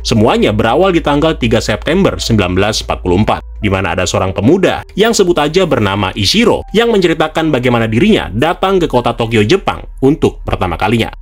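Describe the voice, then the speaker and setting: A man's voice: medium pitch, very animated, fast, few pauses, husky. A man, narrating a story casually and speaking directly to viewers. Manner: colloquial, chatty, addresses viewers directly. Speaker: video narrator. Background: background music.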